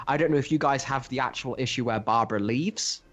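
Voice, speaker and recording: A man co-hosting a podcast, talking casually through comic books in a halting, rambling way. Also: mid-range voice, a bit bright, faint music behind. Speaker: podcast co-host reviewing comics. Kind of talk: casual chat, comic discussion.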